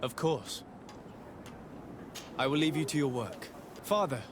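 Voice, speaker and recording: An actor playing a polite, composed noble envoy in a video game, formal, courteous and inquiring. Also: male voice, mid-range voice, expressive, a little slow, noisy background, close to an everyday voice. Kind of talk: video game cutscene acting.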